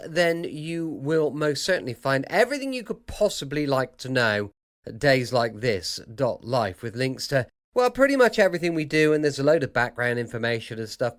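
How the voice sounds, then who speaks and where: Male host, effusive chatty intros, highish voice that rises and falls a lot. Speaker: podcast host.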